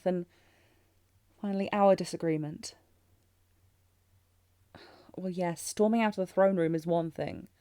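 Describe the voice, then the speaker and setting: A woman's voice: lowish voice, warm tone, no echo, clean studio sound, lightly acted. A woman playing a tender, anxious young princess in a romantic audio drama, speaking formally and hesitantly with sighs. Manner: formal archaic diction, hesitant, breathy sighs. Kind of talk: scripted romantic fantasy audio roleplay, intimate.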